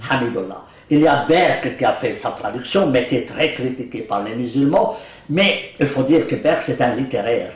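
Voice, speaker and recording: Animated man, roomy. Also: mid-range voice, normal speed. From West Bank. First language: Arabic. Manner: animated, chuckling, blunt homely comparisons. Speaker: interviewee, Islamic law scholar. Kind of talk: casual interview conversation. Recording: roomy echo, distant mic.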